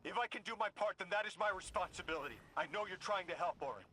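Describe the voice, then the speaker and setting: A man's voice: high voice, fast talker, pushed and bright, slight background noise, low-quality recording. An actor playing an earnest, resolute young man displaced in time. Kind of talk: video game voice acting.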